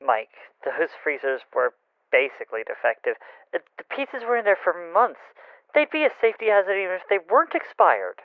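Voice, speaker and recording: A man's voice: high voice, very animated, brisk, no room sound, phone-quality sound. An actor playing a nervous, exasperated young friend in an audio drama, stammering as he talks. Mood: exasperated, worried. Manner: stammering, jittery.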